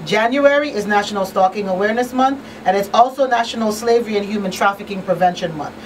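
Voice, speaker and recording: A woman's voice: medium pitch, fast. A woman presenting a live radio talk show as host in a small studio. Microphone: boom-arm studio microphone. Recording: slightly distant, faint room noise.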